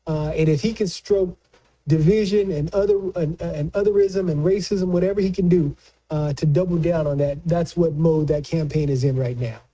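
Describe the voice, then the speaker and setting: A man's voice: a little high, normal speed, mellow, poor audio. A man giving casual political commentary, speaking emphatically and repeating phrases for stress.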